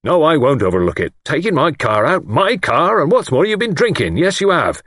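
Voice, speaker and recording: A man's voice: fairly low pitch, animated, moderate pace, a bit bright, breathy edge, no echo, clean studio sound. A man voicing a pompous, easily outraged older English lord in an audiobook, spluttering with indignation and self-importance. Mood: furious.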